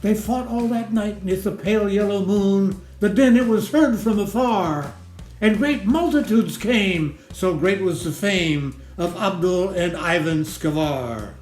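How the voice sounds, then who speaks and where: High-pitched man reciting verse in a roomy space. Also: unhurried, a little mellow. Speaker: poetry reader. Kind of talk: theatrical recitation of a comic ballad in rhyming verse. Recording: roomy echo, music underneath. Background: music.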